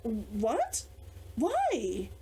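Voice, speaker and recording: A woman's voice: a little high, wildly swooping pitch, extremely slow, mellow, a little hiss or hum, full character voice. A woman reading aloud a stubborn, guarded young storybook heroine with an exasperated, defensive manner.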